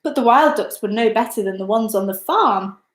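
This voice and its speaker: A woman reading a children's story as a storyteller, in an expressive, deliberate manner. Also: medium pitch. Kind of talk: scripted children's story narration. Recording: echoey, roomy sound.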